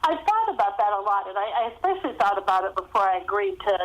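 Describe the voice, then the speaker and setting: Female guest author, mid-pitched, chatting in interview style down a phone line. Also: very animated, fast. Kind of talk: conversational interview answers. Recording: thin phone-line sound.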